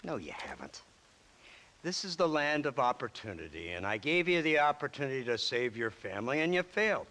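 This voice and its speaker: An actor playing a pompous, contemptuous authority figure in a video game, sneering and theatrically condescending. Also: male voice, medium pitch, very animated, fairly quick, pushed and bright. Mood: sneering contempt. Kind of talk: video game drama acting.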